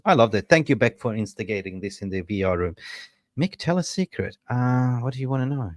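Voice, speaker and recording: A man in his fifties from Sweden, co-hosting a casual chat, playful and quick to laugh. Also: medium pitch, expressive, dry recording, clean studio sound. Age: 50s. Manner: playful, laughs often, slips into jokey impressions. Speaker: co-host of a football fan show.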